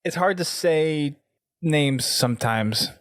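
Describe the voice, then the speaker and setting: A man's voice: on the high side, expressive, slow, no room sound, studio quality. A man speaking casually as the host of a gaming podcast.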